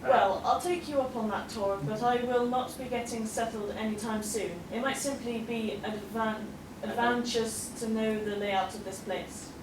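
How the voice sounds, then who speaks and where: Radio play pony newcomer, acted by a woman: youthful, inquisitive, probing, higher-pitched, noisy convention room sound. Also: steady tone, normal speed, hardly any pauses, echoey room, far from the mic, natural voice. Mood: earnest. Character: earnest, inquisitive young female pony newcomer.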